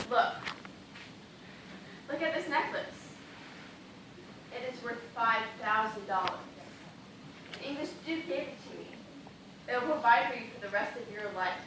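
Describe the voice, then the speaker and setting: Far from the mic in a very echoey, noisy hall, a woman plays a vain dancer stranded at sea and dying of thirst. She speaks in a high voice, with melodramatic pleading and formal theatrical diction. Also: lightly acted. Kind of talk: amateur one-act stage play acting. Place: indoor theatre stage, recorded from the audience distance.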